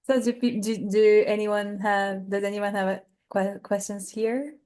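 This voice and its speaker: A woman with a medium-pitched voice speaks very slowly as an event host. She asks casual questions haltingly, searching for words. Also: flat delivery, mellow. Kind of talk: casual interviewing in English.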